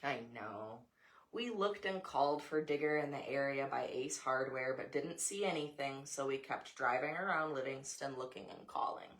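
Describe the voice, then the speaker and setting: A woman's voice: a bass voice, animated, moderate pace, fairly bright tone. An American woman reads a children's picture book aloud, adding informal asides to a young child. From United States. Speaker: storybook reader, mother.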